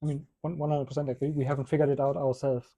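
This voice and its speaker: A man on a panel speaks in a very dark, husky voice with flat intonation, relaxed and a little hesitant. The sound is dry and close. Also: medium pitch, racing, no room sound. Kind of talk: panel discussion.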